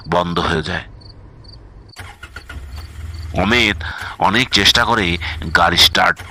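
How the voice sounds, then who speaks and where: A man's voice: low voice, sharp tone, a little hiss or hum. A man narrating a scripted horror story as its storyteller.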